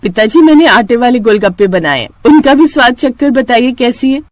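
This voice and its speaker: A woman plays a young daughter-in-law from a storybook tale. She is gentle, dutiful and respectful as she explains, with a lively, varied pitch. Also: moderate pace, no room sound. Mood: eager. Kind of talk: storybook character voice.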